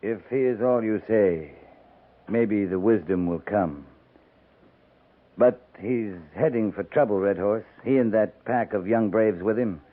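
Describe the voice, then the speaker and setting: An actor playing a firm, plain-spoken frontier cavalry captain in a 1950s radio western, terse and grave. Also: male voice, fairly low pitch, a little slow. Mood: warning, firm. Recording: narrow tinny 1950s radio recording.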